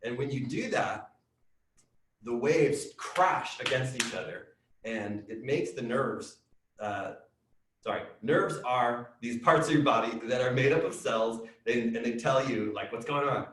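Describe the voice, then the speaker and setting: Excitable man teacher in an echoey room. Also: mid-range voice, unhurried, a little hiss or hum. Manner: chatty and excitable, explaining with lots of fillers. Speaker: music teacher. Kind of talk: teaching a children's science class online. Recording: echoey room, distant mic.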